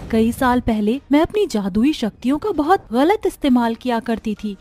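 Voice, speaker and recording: A woman voicing a grateful, gracious magical fairy with earnest delivery in an animated fairy tale. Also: sits high. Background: music.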